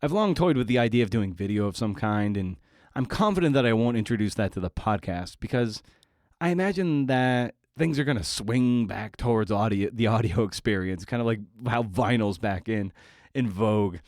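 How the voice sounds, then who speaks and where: A low-voiced man talks casually by himself as a podcast host. His manner is wry, rambling and self-deprecating. The recording is dry and close, with very little room sound. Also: lively intonation, moderate pace, darkish tone, dry recording. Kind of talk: casual solo podcast monologue.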